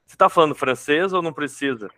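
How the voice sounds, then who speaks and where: A Brazilian podcast host speaks with a Brazilian Portuguese accent in a loose, joking way, full of colloquial asides. His voice sits at a mid pitch for a man. The recording is dry and close, with no room echo. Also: fast talker, pushed and bright. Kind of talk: casual interview conversation.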